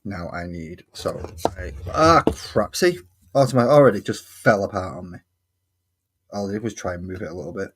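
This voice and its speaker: A man's voice: deep voice, expressive, moderate pace, breathy edge. An English man chatting casually and self-deprecatingly while building a hobby model on his stream. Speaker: hobby streamer painting miniatures. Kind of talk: casual chat while crafting.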